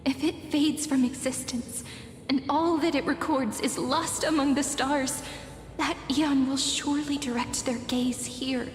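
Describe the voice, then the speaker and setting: A woman playing a gentle, wistful young storyteller in a fantasy game, speaking in a tender, reflective way. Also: sits high, fairly even intonation, measured pace. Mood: solemn. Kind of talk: dramatic fantasy game dialogue. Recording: echoey long reverb over music.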